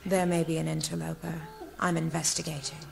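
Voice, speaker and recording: Very creaky, cold vampiress. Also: female voice, low voice, flat delivery, a little fast, slight background noise. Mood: cool, businesslike. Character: cold, disdainful female vampire reporting to her brother. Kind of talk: video game cutscene acting.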